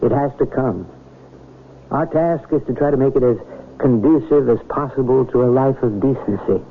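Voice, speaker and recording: An actor playing an earnest, persuasive small-town reverend, measured and oratorical, in an old radio drama. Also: male voice, medium pitch, natural voice. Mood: earnest, philosophical. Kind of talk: 1980 radio drama acting. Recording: noisy, tinny old radio broadcast recording.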